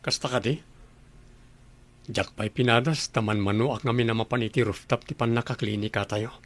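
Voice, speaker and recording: A man's voice: mid-range voice, fairly even intonation, moderate pace, close to an everyday voice. An actor playing a father in charge at a clinic, who questions others in a matter-of-fact way. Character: concerned father, a boss at a clinic.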